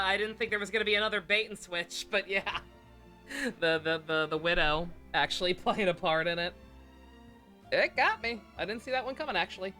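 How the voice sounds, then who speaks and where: A bright, forceful man chats casually as a game streamer. He rambles with enthusiasm, and faint music plays behind him. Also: high voice. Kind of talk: casual livestream commentary.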